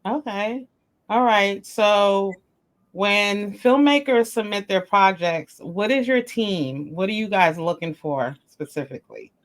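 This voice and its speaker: A woman's voice: mid-range voice, takes it slowly. A woman hosting a podcast interview asks her questions in an enthusiastic, effusive way. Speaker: podcast host.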